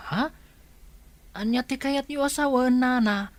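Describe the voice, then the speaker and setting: An actress playing a polite, timid orphaned child with a plaintive manner in an Ilocano radio drama. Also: female voice, high voice, a little slow. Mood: timid, puzzled.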